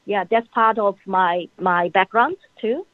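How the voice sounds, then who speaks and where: A woman, a guest on the show, answering in halting speech with many fillers and grammar. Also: mid-range voice, dry recording. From China. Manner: halting, frequent uh fillers, non-native grammar. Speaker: guest, PhD in psychology. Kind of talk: phone-in interview answers. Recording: tinny phone line, dry.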